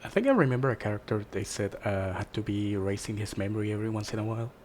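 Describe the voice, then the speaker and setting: A man's voice: on the low side, animated, racing, a little mellow, a little hiss or hum. A man chatting casually as he reacts, laid-back, making brief musing remarks.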